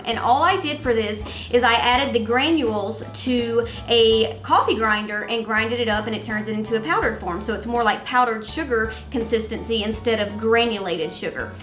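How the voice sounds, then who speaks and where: A woman's voice: fairly high pitch, fairly bright tone, echoey room. Chatty Southern woman from Mississippi. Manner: chatty, friendly step-by-step explaining with fillers like "go ahead". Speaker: cooking vlogger host. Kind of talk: casual cooking tutorial.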